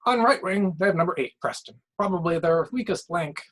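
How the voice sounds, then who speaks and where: A man performs a blustery former hockey coach in the TV booth for an audio short story. He rants in short, slangy, emphatic sentences, full of strong opinions, with a clearly pushed commentator's delivery. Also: high voice, lively intonation, a little fast, a bit bright, pitched above the performer's own voice. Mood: opinionated, admiring. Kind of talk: acted sports commentary in a short story reading.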